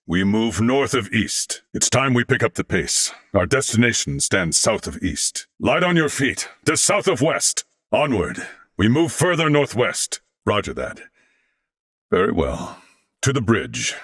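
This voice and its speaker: A man voicing a courteous older alien warrior-scholar in a video game, speaking formally and eloquently with measured diction. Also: lowish voice, sing-song, a bit bright, dry recording, studio quality, exaggerated voice. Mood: composed, commanding. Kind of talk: video game character voice lines.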